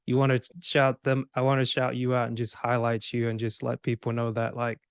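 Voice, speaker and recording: Male podcast host, informal and rambling, narrow pitch range, very dry recording. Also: completely flat delivery, a little fast, clear, resonant tone, no room sound. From Cameroon, grew up in Georgia, USA. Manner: informal, rambling, acts out imagined remarks. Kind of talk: casual podcast conversation.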